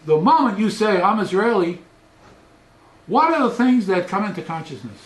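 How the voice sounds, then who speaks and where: An older man, a professor, gives an animated, lecture-style philosophical talk into a headset mic in a small study, often trailing off into quieter asides. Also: high voice, moderate pace, reverberant, rough recording. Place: small study with bookshelves, indoors.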